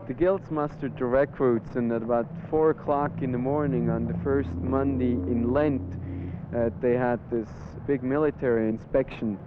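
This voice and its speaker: A man's voice: mid-range voice, steady tone. Man explaining, tinny old audio. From Switzerland. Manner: explanatory, measured. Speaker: carnival organizer. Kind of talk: explaining to camera. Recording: tinny old film audio with street noise.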